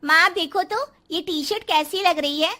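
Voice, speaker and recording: A woman's voice: very high-pitched, moderate pace, bright, forceful tone. A woman voicing a modest, friendly, earnest young sister-in-law in a cartoon story. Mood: cheerful.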